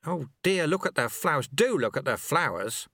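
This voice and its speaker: A man voicing a chatty, excitable old monk mentor who fusses and exclaims as he goes. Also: a little high, moderate pace, dry recording, studio quality, pitched above the performer's own voice, heavily acted. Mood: alert, fussing. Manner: exclamatory, fussy, rambling.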